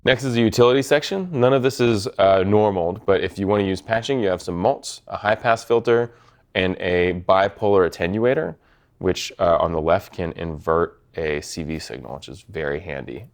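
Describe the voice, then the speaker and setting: A man's voice: deep voice, normal speed. A man giving a methodical, matter-of-fact product demonstration as a presenter. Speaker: product presenter from a synth maker. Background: synthesizer tones.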